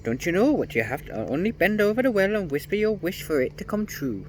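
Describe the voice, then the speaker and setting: A man voicing a sly, shrill old male gnome in a storybook reading. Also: very animated, brisk, a little hiss or hum. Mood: wheedling.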